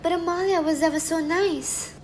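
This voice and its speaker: A woman's voice: extremely high pitch, fairly even intonation, normal speed, darkish tone, a little hiss or hum. A teenage girl playing a naive, kind-hearted young factory worker in a radio drama, earnest and questioning. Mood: worried, defensive. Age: teenage high school student.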